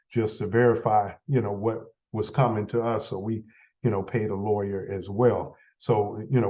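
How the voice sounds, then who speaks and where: A man with a cold, sounding a little stuffy, leading a members' meeting in a halting, filler-heavy way. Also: mid-range voice, darkish tone, highs cut off. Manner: halting, many fillers and restarts. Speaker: association leader running a meeting. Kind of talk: casual meeting update.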